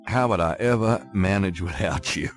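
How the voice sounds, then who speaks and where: A man plays a cocky, flirtatious writer in an adventure game, with a very deep voice and a smug drawl. Quiet game music runs under his lines. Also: normal speed, natural voice. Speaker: novelist character in a game.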